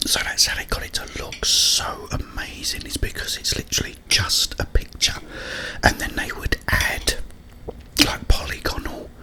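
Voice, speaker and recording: A man whispering a rambling, halting ASMR monologue about video games, with a breathy unvoiced whisper and frequent pauses. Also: rough recording. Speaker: ASMR creator.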